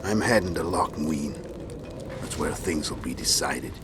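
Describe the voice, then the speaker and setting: A man acting a calm, calculating assassin leader in a video game, speaking in measured, terse and commanding lines. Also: very deep voice. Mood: calm, resolute. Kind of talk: dramatic video game dialogue. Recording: music behind the voice.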